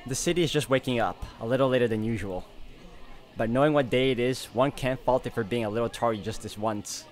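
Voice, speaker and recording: A man's voice: medium pitch, a little fast. A man hosting a let's play, mixing gaming commentary with dramatic read-aloud of visual novel narration. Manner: reads narration dramatically, reacts openly. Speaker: let's play video host.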